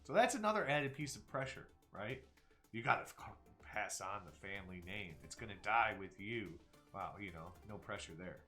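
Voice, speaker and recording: A man's voice: fairly low pitch, expressive, moderate pace, sharp tone. A man in his forties hosting a live stream, talking candidly and reflectively in casual chat, often trailing off and restarting. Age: 40s. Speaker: stream host. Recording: faint music behind.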